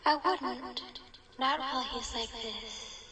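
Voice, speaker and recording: Woman as a high, cautious robot bear. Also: slow, sharp tone, husky, heavily acted. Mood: sympathetic. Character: mild, cautious, sympathetic male animatronic bear. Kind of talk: amateur comic dub acting.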